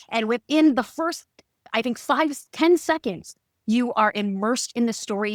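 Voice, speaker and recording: A woman's voice: mid-range voice, no room sound, studio quality. A woman hosting a podcast interview in a gushing, enthusiastic manner. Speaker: host.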